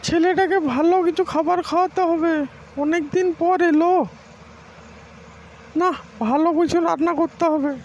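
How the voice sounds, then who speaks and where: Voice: very high voice, steady tone, normal speed, darkish tone. A performer voicing a kindly, doting aunt in a comic cartoon, fussing and caring. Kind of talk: amateur comic cartoon dubbing. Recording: muffled, faint background noise.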